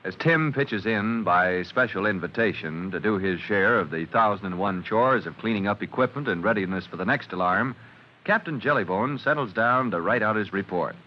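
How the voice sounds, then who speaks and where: A man's voice: on the low side, expressive, moderate pace, highs cut off. A man with an American accent narrating an old-time radio serial in a theatrical, suspenseful way, on a noisy old recording. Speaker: announcer and narrator.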